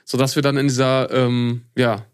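A man in his thirties hosting a podcast, talking through his thoughts casually and pausing on fillers. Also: medium pitch, little pitch movement, smooth. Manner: reflective, hesitant, with many fillers. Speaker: podcast host. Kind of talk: casual chat.

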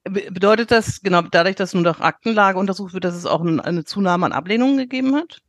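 A woman hosting a panel discussion, asking questions in a halting way with frequent restarts. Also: medium pitch, no echo, studio quality. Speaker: host.

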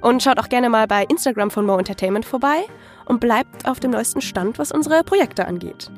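A woman's voice: on the high side, animated, a little fast, faint music behind. A woman hosting an interview podcast, speaking in a polite, articulate and friendly presenting style.

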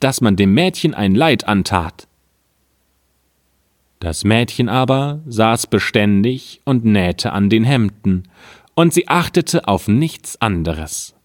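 A man reading an old fairy tale aloud in a calm, measured storytelling manner. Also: lowish voice, no room sound, studio quality.

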